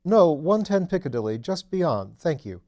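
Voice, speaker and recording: A man's voice: on the high side, big pitch swings, normal speed, warm tone, voice pushed up. A man voicing an amiable aristocratic detective in an audiobook, breezy, leisurely and courteous. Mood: airy, mildly flustered. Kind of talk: audiobook character dialogue.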